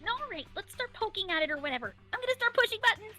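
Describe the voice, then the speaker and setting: A woman's voice: very high voice, very fast, over music. A woman voicing a bubbly, skittish teenage schoolgirl for an amateur dub of a mystery game. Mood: curious, nervous. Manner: excitable, chirpy. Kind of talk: amateur character dub of a visual novel.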